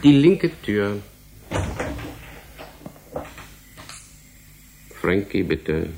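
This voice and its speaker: A very deep, animated voice of an evasive senior official in a radio drama. He is middle-aged, polite and officious, explaining at length over faint background noise. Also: slow pace, creaky, full character voice. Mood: brisk. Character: courteous, evasive senior male research department head.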